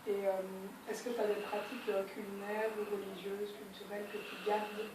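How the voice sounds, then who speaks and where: A young woman with a mid-range voice asks her questions haltingly, filling gaps as she goes. She is heard from across an echoey room with noticeable background noise. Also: mid-range voice, completely flat delivery. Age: young adult. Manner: hesitant, many fillers. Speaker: student interviewer. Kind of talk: casual interview questions. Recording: echoey room, voice far from mic, noisy.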